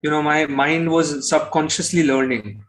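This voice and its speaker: A man's voice: a little high, moderate pace, rough recording. A young man with an Indian accent answering casually as an interview guest, explaining things reflectively. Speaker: interview guest, singer-songwriter.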